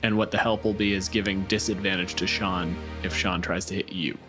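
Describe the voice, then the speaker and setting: Man, game master, slightly low pitch, casual explanatory talk, tabletop narration, light background music. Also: fairly even intonation, fairly quick.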